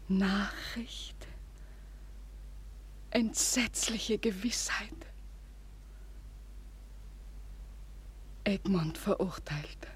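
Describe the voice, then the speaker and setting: Desperate young lover for classical radio tragedy: slow, intense delivery, extremely breathy, often sinking toward a whisper. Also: female voice, mid-range voice. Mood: anxious, stunned. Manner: slow, halting, intense declamation. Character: desperate, devoted young woman, lover of a condemned hero. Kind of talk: classical German radio drama monologue.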